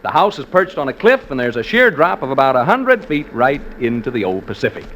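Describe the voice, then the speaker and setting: A man's voice: medium pitch, very animated, brisk, fairly bright tone. A man acting a jokey, folksy American radio host turned ghost hunter, in 1940s radio drama style with theatrical emphasis. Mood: chatty, uneasy. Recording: noisy old radio show recording.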